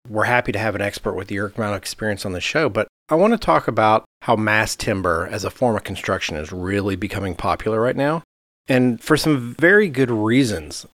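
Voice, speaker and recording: A man's voice: on the low side, a bit bright, no echo, clean studio sound. A man hosting an interview podcast in a conversational style. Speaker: podcast host.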